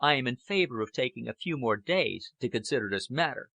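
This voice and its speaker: A man plays a measured aristocratic gentleman in an audiobook novel, earnest and careful. His formal speech is clear-edged and bright, with lively intonation. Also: mid-range voice, no echo, exaggerated voice. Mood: earnest, cautious. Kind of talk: audiobook character acting.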